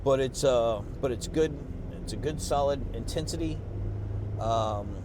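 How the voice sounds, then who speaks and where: A man's voice: medium pitch, unhurried. Rambling, worn-out man outdoors. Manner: rambling, profane, with many fillers and restarts. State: worn out after a workout. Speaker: fitness vlogger. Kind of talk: casual post-workout vlog talk. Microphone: clip-on mic under a jacket. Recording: noisy outdoor street recording. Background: traffic and street noise.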